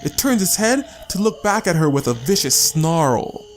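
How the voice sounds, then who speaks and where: A man's voice: a little high, big pitch swings, normal speed, over music. A man narrating a story as a scripted, dramatic audiobook reading.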